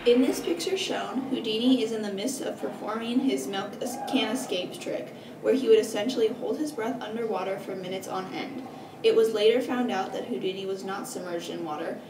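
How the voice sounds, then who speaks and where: A woman's voice: medium pitch, brisk. A young woman narrates a scripted history report as a student presenter, stumbling and correcting herself as she reads. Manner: stumbling, self-correcting reading. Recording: distant mic, roomy echo, clear noise.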